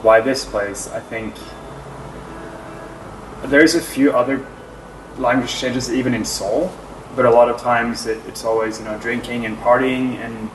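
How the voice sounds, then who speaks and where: A man's voice: mid-range voice, fairly even intonation, a little slow, pauses often, a little hiss or hum. A man from Norway, a native Norwegian speaker, giving easygoing, earnest interview answers as an actor. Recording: roomy, distant mic, music underneath.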